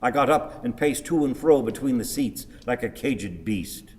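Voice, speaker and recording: An actor playing a grumpy, long-winded old husband in a radio play, with ponderous, pedantic, theatrical delivery. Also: male voice, medium pitch, expressive, normal speed, a little mellow, big-room sound, lightly acted. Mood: brooding recollection. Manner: declamatory, ponderous, wordy.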